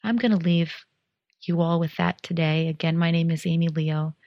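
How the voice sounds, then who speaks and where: A woman from the United States, giving a calm, earnest and encouraging reflective monologue as a podcast host. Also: lowish voice, moderate pace, no room sound, muffled recording.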